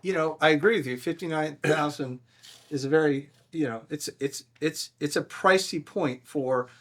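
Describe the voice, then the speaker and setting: A man speaking as a guest loudspeaker maker in a casual product discussion, agreeable and often circling back over his words. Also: a little high, moderate pace. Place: large, minimally treated listening room.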